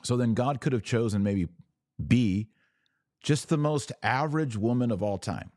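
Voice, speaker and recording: A man's voice: mid-range voice, normal speed, darkish tone, no echo, clean studio sound. A man hosting a religious podcast, explaining in an emphatic monologue with rhetorical questions and repeated key words. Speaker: podcast host.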